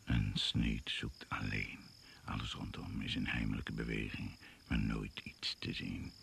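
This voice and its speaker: A man narrating a radio drama gravely and ominously, in deliberate, incantatory short phrases, on an old recording. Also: very deep voice, fairly even intonation, a bit bright, slight background noise, exaggerated voice. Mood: ominous, measured. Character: grave, ominous male narrator of a jungle tale.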